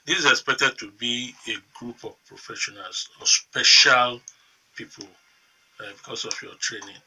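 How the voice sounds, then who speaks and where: Nigerian male morning-show host, emphatic questioner, bright tone, slightly distant. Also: medium pitch, normal speed, breathy edge.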